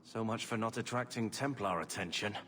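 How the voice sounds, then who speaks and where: An actor playing an irritable young adventuring companion in a video game, sarcastic and grumbling. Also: male voice, fairly low pitch, fairly quick, long reverb tail, over music, close to an everyday voice. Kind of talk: video game cutscene acting.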